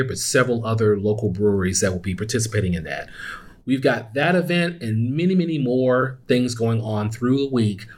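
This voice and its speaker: A man hosting a podcast, giving upbeat, conversational announcements in a casual solo style. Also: mid-range voice. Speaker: podcast host.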